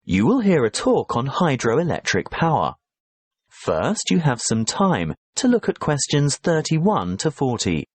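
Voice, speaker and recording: A man reading scripted educational narration for a listening test in a measured, instructional way. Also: mid-range voice, huge pitch swings, breathy edge, no echo.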